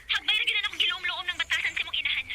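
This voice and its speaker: An aggrieved young Cebuano wife in a Bisaya radio drama. She pleads and complains at a quick clip in a very high voice, under heavy noise. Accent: Filipino, Cebuano. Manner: plaintive, complaining. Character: aggrieved, outspoken young wife, standing her ground. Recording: very noisy radio drama recording.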